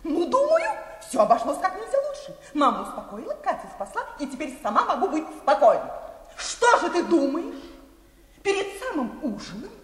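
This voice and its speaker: A woman's voice: sits high, huge pitch swings, moderate pace. A woman playing a chattering, melodramatic, self-absorbed young wife in a comic radio play, gushing and theatrical. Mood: gushing, bubbly. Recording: echoey hall, long reverb.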